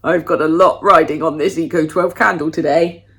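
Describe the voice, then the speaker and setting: A middle-aged woman, her nose blocked from a cold, chats brightly to her viewers from home. Her voice sits a little low, and she jokes at her own expense as she goes. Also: steady tone, normal speed. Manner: bubbly, self-deprecating, talks to viewers. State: congested with a cold, sniffly. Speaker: candle-making vlogger. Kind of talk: chatty home vlog. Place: at home, moving between rooms.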